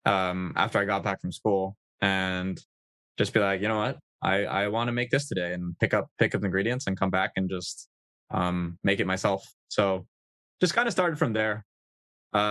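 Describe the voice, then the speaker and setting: Male podcast guest, low-ish voice, dry close sound, chatty and easygoing. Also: a bit bright, no echo. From United States. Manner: easygoing, chatty, with frequent fillers. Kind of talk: casual conversational interview.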